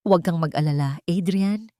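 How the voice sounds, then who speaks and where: A woman's voice: on the low side, normal speed, dark tone, no echo, clean studio sound, lightly acted. A woman narrator voicing a wary, money-minded older mother who schemes in a story. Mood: reassuring. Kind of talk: dramatic storybook dialogue.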